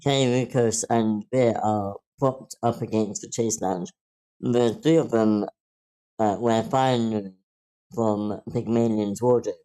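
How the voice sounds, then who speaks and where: A man's voice: on the low side, fairly even intonation, slow, warm tone, poor audio. A man reading stage directions aloud as narrator at an amateur script table read.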